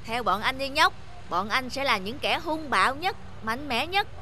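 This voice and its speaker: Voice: sits high, lively intonation, normal speed, pushed and bright, a little hiss or hum. A performer voicing a bullying, boastful villain of filthy water in a cartoon, menacing and taunting.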